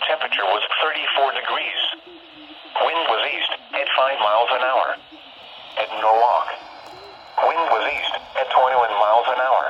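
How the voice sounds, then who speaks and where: A synthetic male text-to-speech voice reading a scripted weather bulletin in a measured, impersonal way, as an automated radio announcer. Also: medium pitch, a bit bright. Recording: noisy, dull weather radio reception. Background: clear radio noise.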